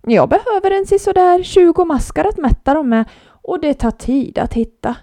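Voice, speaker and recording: A woman doing a polite croaking frog. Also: high voice, lively intonation, mellow, no echo, clean studio sound, voice pushed up. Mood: courteous. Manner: formal, croaking. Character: polite male frog, busy father.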